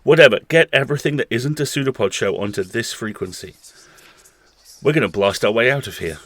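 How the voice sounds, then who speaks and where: An actor playing a defiant, desperate captive show host, speaking in an urgent, clipped way in an audio horror drama. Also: male voice, medium pitch, expressive, fairly quick, fairly bright tone.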